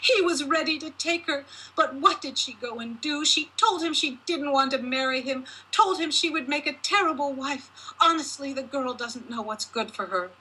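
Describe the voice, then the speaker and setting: A woman's voice: high voice, moderate pace, few pauses, sharp tone, pitched above the performer's own voice, low-quality recording. A woman voicing an enraged, status-obsessed giantess mother from a storybook, scolding and exclaiming. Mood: exasperated.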